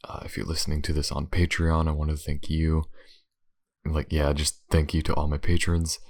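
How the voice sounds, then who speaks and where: A man's voice: very deep voice, little pitch movement, normal speed, darkish tone. A man who hosts an ASMR channel, talking casually and informally to his listeners in an outro. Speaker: host, ASMR voice performer.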